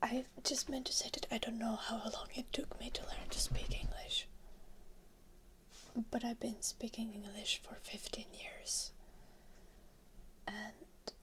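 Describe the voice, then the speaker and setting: A young woman whispers through a relaxed ASMR stream, unhurried and chatty. Her whisper is bright and crisp, and it swoops through a very wide range of pitch. She bounces on an exercise ball as she talks. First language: Finnish. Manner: soft whispering, chatty and casual, answers chat. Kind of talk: whispered live-stream chat.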